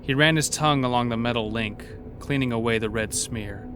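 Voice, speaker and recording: A man's voice: medium pitch, a little fast, background noise. A man narrating a scripted horror story as the narrator of an audio drama.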